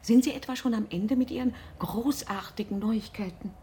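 A very husky, breathy woman's voice, fairly high, as an imperious middle-aged mother in a radio drama. She is sarcastic, impatient and cutting with the man she pays. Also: moderate pace, mellow. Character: demanding, sarcastic, imperious mother hiring a private detective. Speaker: actress. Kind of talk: German radio drama acting.

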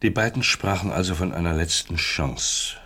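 An actor playing an insistent state security interrogator in a radio drama, sardonic and falsely helpful, with a husky voice. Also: male voice, sits low, lively intonation, normal speed, sharp tone, exaggerated voice. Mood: probing, accusing. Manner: pressing, leading questions, sardonic.

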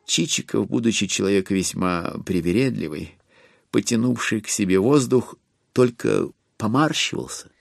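A man narrating a classic novel as a theatrical audiobook, in a wry, expressive way with deliberate pauses. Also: on the low side, vocal fry, no room sound, studio quality.

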